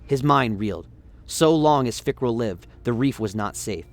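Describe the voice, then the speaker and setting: A man's voice: medium pitch, lively intonation, normal speed, creaky. A man giving scripted, dramatic narration of a story as the narrator of a radio play.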